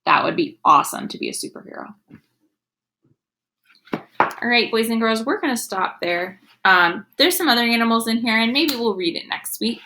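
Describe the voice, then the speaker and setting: A woman's voice: a little high, lively intonation, moderate pace, pushed and bright, slight background noise. A woman teacher reading aloud to young children, explaining as she goes in an instructive way and sounding out words. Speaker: elementary school teacher.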